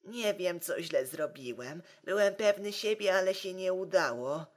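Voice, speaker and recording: A woman playing a hapless, meek but stubborn young man in an amateur comedy drama, speaking in a flat, unconvincing way. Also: lowish voice. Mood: dejected. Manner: unconvincing, flat, slow delivery.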